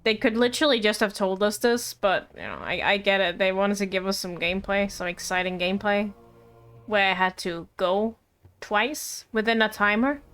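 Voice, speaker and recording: A woman's voice: medium pitch, moderate pace, a bit bright, clean tone, faint music behind. A woman streamer giving casual, giggly live game commentary with wry asides.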